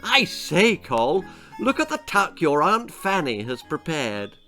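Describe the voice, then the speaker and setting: Whiny posh schoolboy, played by a man, British, excitable, high and lively, storybook style. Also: takes it slowly, soft background music, full character voice. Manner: plummy, exclamatory.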